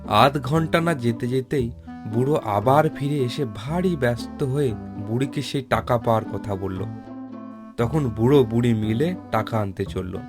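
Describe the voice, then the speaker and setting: A man's voice: mid-range voice, unhurried. A man reading a scripted folk tale as narrator. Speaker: storyteller, narrator. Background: plucked guitar-like music.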